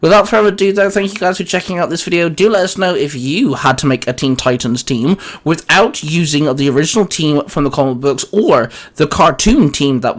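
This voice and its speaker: A man with a slightly high voice chats casually as the co-host of a geeky show. He has a teasing, sarcastic streak to his banter.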